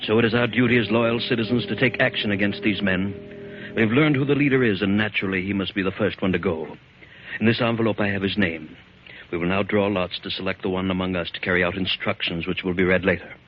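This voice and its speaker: An actor playing the cold, menacing leader of a secret committee in a 1940s radio drama, formal and measured. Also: male voice, on the low side, fairly even intonation, faint music behind, lightly acted. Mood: formal, commanding. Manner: formal, measured, coldly commanding. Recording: dull lo-fi 1940s radio recording.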